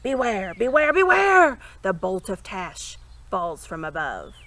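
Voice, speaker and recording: A woman's voice: medium pitch, wildly swooping pitch, slow, a bit bright, heavily acted. A woman voicing a furious, sulking captive young prince in a storybook, spluttering bombastic threats with theatrical defiance. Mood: menacing rage.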